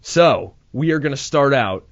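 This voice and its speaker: A man's voice: mid-range voice, expressive, a little slow, no echo. Young guy on his first radio show, bit nervous, keeps restarting, dry muffled studio mic. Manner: nervous, rambling, self-deprecating with restarts. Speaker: radio host. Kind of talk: casual radio chat. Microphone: studio microphone.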